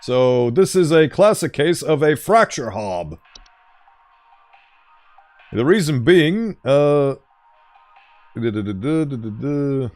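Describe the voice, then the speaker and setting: Playful smooth-voiced male streamer. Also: medium pitch, big pitch swings, slow pace, pauses often, a little mellow, faint music behind. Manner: playful, reads game text aloud in a mock-formal tone. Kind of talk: casual game commentary.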